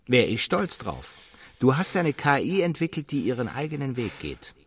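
A man's voice: medium pitch, sing-song, normal speed, slightly rough. A man in a radio drama playing a matter-of-fact colleague who explains things bluntly and firmly. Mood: blunt, consoling.

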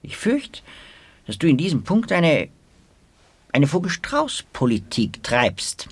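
An actor playing an earnest, long-winded socialist intellectual in a radio drama, lecturing pedantically and haltingly. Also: male voice, fairly high pitch, huge pitch swings, dry recording, exaggerated voice.

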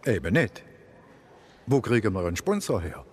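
An actor playing a self-assured, scheming police chief with a Swabian accent, expansive and ironic, in a radio drama. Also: male voice, lowish voice, sing-song, moderate pace, a little hiss or hum. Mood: conspiratorial. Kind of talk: German radio drama acting.

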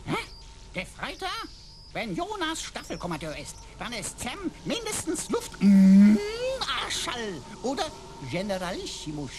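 An actor voicing a clownish, mock-servile computer sidekick with flowery, mock-pompous phrasing in a German sci-fi radio play. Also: male voice, sits high, wildly swooping pitch, measured pace, background noise, heavily acted. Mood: playful.

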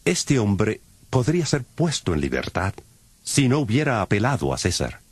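A man playing a measured king passing formal judgment in a dramatized audio Bible. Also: lowish voice, very animated, husky, dry recording. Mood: judicious.

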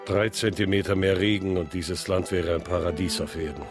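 An actor playing a middle-aged, respected farm owner, calm and courteous, in a naturalistic radio drama. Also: male voice, deep voice, a little fast, over music, lightly acted. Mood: self-assured. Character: respected, kindly, self-assured Kansas farm owner, a family man.

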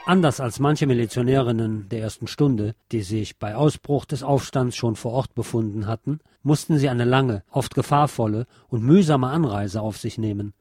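A man's voice: mid-range voice, moderate pace, hardly any pauses, darkish tone, slightly rough, no echo, clean studio sound, soft background music. A man reading a scripted history documentary as narrator. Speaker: narrator of a history radio series.

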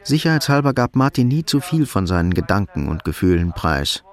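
Low-voiced male radio drama narrator. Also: big pitch swings, brisk. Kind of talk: scripted literary narration.

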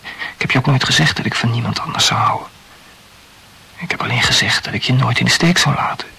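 An actor playing an evasive, self-absorbed lover in an intimate radio play, reasoning wordily in a breathy voice. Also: male voice, mid-range voice, a little fast, bright, forceful tone, exaggerated voice. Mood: wry. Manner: wordy, rationalizing.

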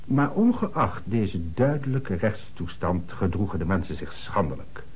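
An actor playing an earnest, formal middle-aged notary in a radio play, speaking in a measured way with dramatic emphasis. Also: male voice, on the low side, very animated, a little hiss or hum. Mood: indignant.

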